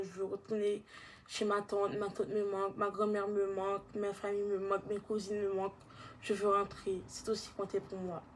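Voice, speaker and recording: Flat-voiced young woman with a Guadeloupean accent. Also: medium pitch, breathy edge. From Haiti. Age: young adult. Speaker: person giving a testimony. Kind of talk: personal testimony, casual speech.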